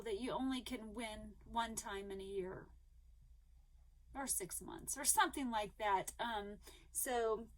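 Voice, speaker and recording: Upbeat woman vlogger with a high voice, slightly hoarse, rambling chat full of ums. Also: fairly bright tone, poor audio. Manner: chatty, rambling with many ums and restarts; eager and upbeat. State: slightly hoarse, losing her voice. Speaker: crochet vlogger. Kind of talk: casual chat to viewers.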